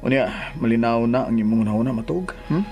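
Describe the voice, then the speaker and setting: An actor playing a soothing, apologetic young husband in a radio drama, placating and a little hesitant. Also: male voice, mid-range voice, fast talker, warm tone, soft background music, lightly acted. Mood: coaxing.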